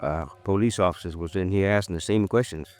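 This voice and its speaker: A deferential hotel bartender acted by a man with a low voice. He speaks haltingly and politely in a folksy way, as in a vintage radio drama, with music in the old recording. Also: darkish tone, close to an everyday voice. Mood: polite, hesitant.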